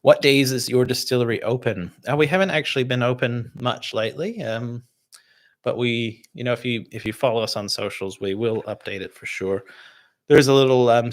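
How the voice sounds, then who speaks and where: A Canadian man with a North American accent, hosting a casual livestream and answering viewer questions in a relaxed, rambling way. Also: medium pitch. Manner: relaxed and rambling, with many fillers and restarts. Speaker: distiller hosting a livestream tasting.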